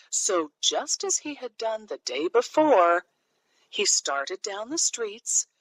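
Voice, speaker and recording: A woman narrating a children's fairy tale from a script, in an expressive, deliberate storytelling manner. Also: a little high, dry recording, rough recording.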